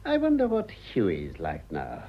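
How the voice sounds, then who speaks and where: Casting: urbane older British husband, wry and avuncular, breathy voice with hugely varied intonation, radio stage comedy, lo-fi recording. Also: male voice, sits low, huge pitch swings, unhurried, full character voice. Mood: reflective. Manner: dry, ironic, avuncular. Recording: slightly dull, lo-fi audio.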